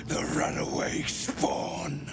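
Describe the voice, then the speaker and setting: A man playing a menacing werewolf guard in a video game, growling short broken phrases in a rough, breathy voice. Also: slow, lightly acted. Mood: threatening. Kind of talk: video game creature acting. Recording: music behind the voice. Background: music.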